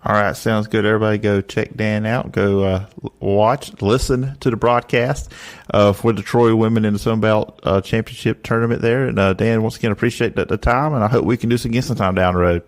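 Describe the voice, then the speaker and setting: Male podcast host, gravelly fry, lowish pitch, casual sports talk. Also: fairly even intonation, a little fast.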